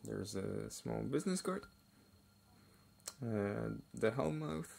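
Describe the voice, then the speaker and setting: Slow-talking man, offhand unboxing commentary. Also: lowish voice, lively intonation, gravelly, darkish tone. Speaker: hobby builder and video host. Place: indoors at a wooden table. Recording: faint noise, crinkling packaging. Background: clicks and crinkling plastic bags.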